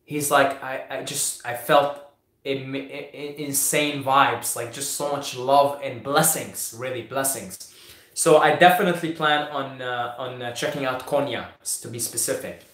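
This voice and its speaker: A man's voice: medium pitch, steady tone, a little slow, fairly bright tone. Syrian-born man answering questions in an echoey room. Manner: repeats words, many fillers and restarts. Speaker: host answering viewer questions. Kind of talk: casual live Q&A.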